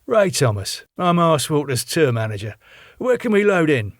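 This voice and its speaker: A man's voice: a little high, sing-song, moderate pace, dry recording, clean studio sound, pitched above the performer's own voice. A man reading the part of a brash, streetwise tour manager in his thirties, chatty and matey. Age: 30s.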